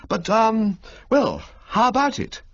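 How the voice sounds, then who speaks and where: A man voicing a chatty, flustered young gentleman who talks in a breezy, fumbling way. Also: sits high, wildly swooping pitch, slow pace, voice pushed up, full character voice. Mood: eager. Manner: breezy, fumbling, full of uhs. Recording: slightly muffled lo-fi audio.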